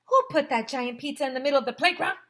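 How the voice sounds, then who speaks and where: Woman doing a high, flustered male principal. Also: quick pace, a bit bright. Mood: confused. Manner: exclamatory, flustered. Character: excitable, flustered, bald male school principal.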